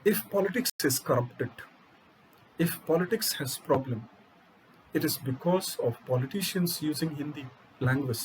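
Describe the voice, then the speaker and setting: Emphatic Indian man, forceful rhetoric. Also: on the high side, expressive, moderate pace, slight background noise, poor audio. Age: middle-aged. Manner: emphatic, rhetorical questions, repeats key phrases. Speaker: UPSC coaching teacher. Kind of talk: impassioned lecture.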